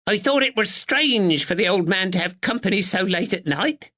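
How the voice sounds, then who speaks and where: A man voicing a sharp, relentless, bedridden elderly mother with a dialect. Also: high-pitched, animated, moderate pace, pitched above the performer's own voice. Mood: sharp, insistent. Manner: blunt, relentless, dialect "were".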